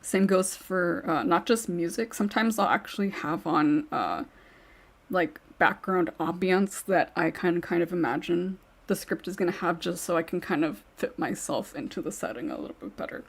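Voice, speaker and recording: A woman's voice: fairly low pitch, normal speed, breathy edge. A woman hosting a podcast in casual panel chat, reading out questions and giving her own answers. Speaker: podcast host and script writer.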